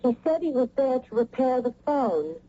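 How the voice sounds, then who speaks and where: Worried american wife in an old radio play, highish soft mellow voice, dull recording. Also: female voice, very animated, moderate pace. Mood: anxious, frightened. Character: anxious, intuitive young wife and mother. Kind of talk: radio drama acting.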